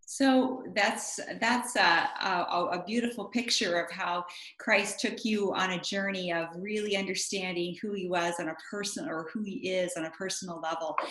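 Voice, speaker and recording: A woman's voice: on the low side, steady tone, moderate pace, fairly bright tone. Encouraging woman interviewer in a roomy space. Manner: encouraging, affirming. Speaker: interviewer, host. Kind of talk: faith interview.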